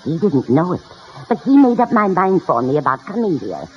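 A woman's voice: lowish voice, sing-song, normal speed. An actress playing a spry elderly college freshman in an old radio comedy, chatty, wry and forthright. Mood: wry, gracious. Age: older. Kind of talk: 1940s-50s radio comedy acting. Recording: muffled, hissy old radio broadcast.

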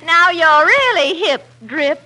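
A woman's voice: extremely high pitch, animated, slow pace, background noise, full character voice. A woman playing a teasing, hip young woman in a 1940s radio comedy sketch, on an old broadcast recording. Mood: teasing, dismissive.

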